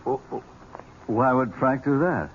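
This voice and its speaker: An actor playing a genial, teasing uncle who speaks in a wry, hesitant, halting way in a vintage radio drama. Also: male voice, medium pitch, lively intonation. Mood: flustered. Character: genial, teasing uncle, awkwardly tactful and gently doubting. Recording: muffled, noisy old radio broadcast.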